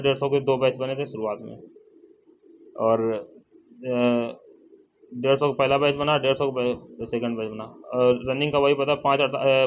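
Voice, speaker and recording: A man giving a casual, informal update to viewers, repeating points and addressing them familiarly as brother. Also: medium pitch. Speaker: exam-update YouTuber and channel host. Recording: dull lo-fi sound, faint noise, birds.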